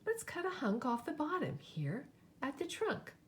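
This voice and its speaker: A woman's voice: sits high, huge pitch swings, unhurried, slight background noise, voice pushed up, heavily acted. A woman voicing a cheerful, eager father bear in a children's storybook, full of exclamation. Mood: decisive. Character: jolly, eager male bear, a family father. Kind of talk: storybook character voice.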